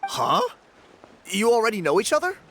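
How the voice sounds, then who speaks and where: Young male tribesman, game dialogue, enthusiastic, emphatic, higher-pitched, faint noise behind. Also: wildly swooping pitch, moderate pace, bright, forceful tone, heavily acted. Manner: emphatic, effusive. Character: friendly, enthusiastic young tribesman, loyal to his friends. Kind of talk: video game voice acting.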